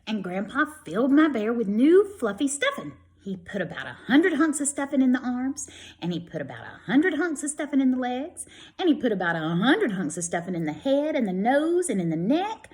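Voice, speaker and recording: Kindergarten teacher type: woman, very lively pitch, expressive storybook reading with chatty asides. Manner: expressive, speaking to young children. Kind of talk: animated storybook read-aloud with casual asides.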